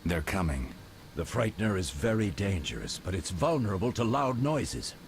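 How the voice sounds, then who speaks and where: A man's voice: low voice, big pitch swings, moderate pace, slight background noise. An actor playing an older male witcher leader in a video game, giving clipped, authoritative orders. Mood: commanding, urgent. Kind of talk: video game cut-scene acting.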